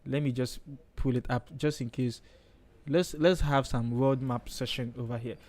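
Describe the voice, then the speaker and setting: A man's voice: mid-range voice, fairly even intonation, moderate pace, darkish tone. Ghanaian male host, casual thinking-aloud delivery, mid-range voice, typing audible in background. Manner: chatty, thinks aloud, frequent okays. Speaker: livestream host, designer. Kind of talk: casual live design tutorial.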